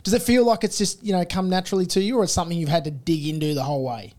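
An Australian man co-hosts a podcast in a quick, matey way. His voice sits fairly high, and he swears casually as he talks. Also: fairly even intonation, darkish tone. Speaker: podcast co-host.

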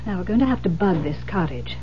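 A woman playing a composed, determined confidante in an old-time radio thriller, earnest, deliberate and reassuring. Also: fairly low pitch, lively intonation, natural voice. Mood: earnest, insistent. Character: Iris, composed, determined woman coaching a frightened friend. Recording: muffled old radio recording with noise, hum.

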